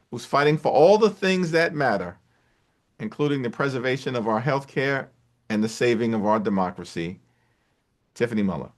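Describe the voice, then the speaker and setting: Man giving a punchy political speech. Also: medium pitch. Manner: punchy, listing slogans with strong drops. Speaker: congressional leader.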